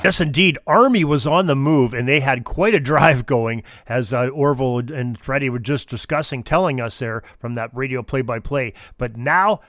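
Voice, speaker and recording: A male podcast host talks through sports history in a mid-pitched voice. He is casual, conversational and enthusiastic, recorded dry and close. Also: normal speed, hardly any pauses, a bit bright, no room sound, faint music behind.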